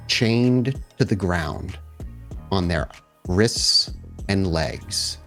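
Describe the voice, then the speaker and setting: Casting: male storyteller for tabletop horror, lowish pitch, deliberate descriptive narration, light music underneath. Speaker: storyteller, game master.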